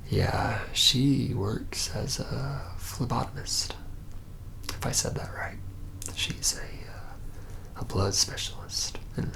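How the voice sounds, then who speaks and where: A reluctant young male vampire , acted by a man, confides his story in an ASMR roleplay. His low voice is heavily fried and slips often into a breathy whisper. He speaks haltingly, nervous and rueful, trailing off as he goes. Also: fairly bright tone, exaggerated voice. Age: young adult. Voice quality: breathy whisper mixed with low creaky murmur.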